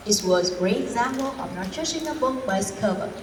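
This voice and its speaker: A woman's voice: on the high side, expressive, brisk, close to an everyday voice. A woman playing an upbeat male confidence coach for students in a school role-play, speaking in an earnest, recited way. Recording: echoey hall, distant mic, background noise.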